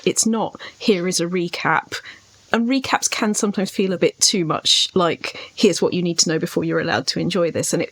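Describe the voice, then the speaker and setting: A British woman reflecting on writing as a podcast co-host, speaking articulately and at length in a thoughtful way. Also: on the low side.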